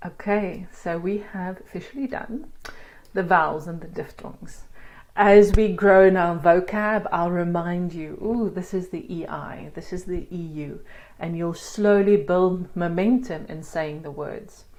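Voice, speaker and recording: A woman with a South African accent, teaching pronunciation in a casual spoken lesson and sounding out words slowly. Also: lowish voice, darkish tone, poor audio. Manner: demonstrates sounds slowly, laughs at herself. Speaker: language teacher.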